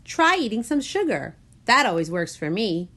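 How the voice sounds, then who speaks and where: A woman voicing a lively, caring grandmother in a children's storybook, exclamatory and helpful. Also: fairly high pitch, moderate pace, pitched above the performer's own voice, exaggerated voice. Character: lively, caring grandmother offering hiccup remedies.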